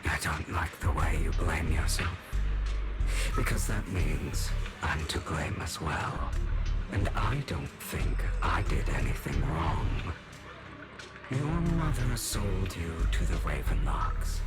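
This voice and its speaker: An actor playing a man's sinister inner self in a video game, measured, coldly taunting and self-assured. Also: low voice, animated. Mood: cold, reproachful. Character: sinister dark inner self of a guilt-ridden man. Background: loud game music.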